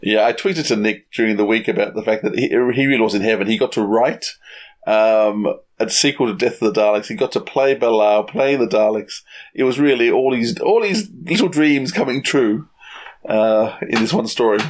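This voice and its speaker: A man co-hosting a podcast, chatting casually and haltingly as he searches for his words. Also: lowish voice. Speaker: podcast co-host. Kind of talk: casual review chat.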